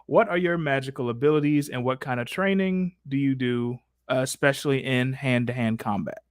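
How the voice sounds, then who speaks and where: A man's voice: medium pitch, moderate pace, clear, resonant tone, dry recording, studio quality. A man hosting a casual livestream Q&A with slangy, mock-exasperated banter. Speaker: livestream host.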